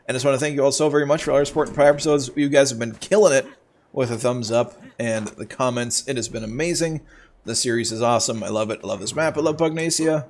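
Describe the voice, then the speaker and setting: A man's voice: medium pitch, fast talker, slight background noise. A man with a cold, sounding stuffed up, hosting casual game commentary in a chatty, rambling way full of ums and uhs. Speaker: gaming channel host.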